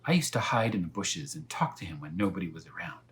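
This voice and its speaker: A talkative female storybook cat read aloud by a man unfolds a tale for children. The delivery is earnest and unhurried, with very animated pitch that leans on key words. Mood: fond, proud.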